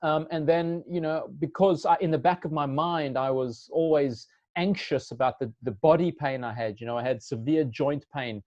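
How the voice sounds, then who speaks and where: Co-founder in his thirties, slightly high voice, halting and restarting, muffled dry audio. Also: a little mellow, no echo. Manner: hesitant, restarting often. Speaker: company co-founder. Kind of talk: casual interview answer.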